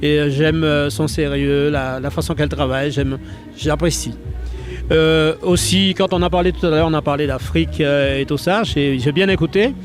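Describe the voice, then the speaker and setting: A man's voice: a little high, steady tone, normal speed, faint music behind. A man from France chatting on a TV show, hesitant and full of fillers and restarts. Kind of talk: casual televised chat.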